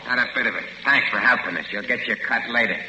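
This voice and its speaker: A menacing, slangy gangster from a 1940s radio crime play. His voice is very sharp and forceful and he talks quickly. It rings with heavy echo on a dull old recording. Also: medium pitch. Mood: falsely reassuring. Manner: slangy, tough. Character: tough, slangy, menacing gangster falsely reassuring. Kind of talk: 1940s radio drama acting. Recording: muffled hissy old radio, echoey hall reverb.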